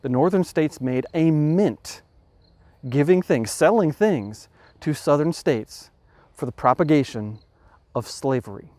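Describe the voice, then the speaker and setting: A man hosting a podcast, talking through a moral question in a thoughtful, measured way, restarting phrases as he reasons aloud. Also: fairly high pitch, animated, a little mellow, dry recording. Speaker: podcast host. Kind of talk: explanatory talk on ethics.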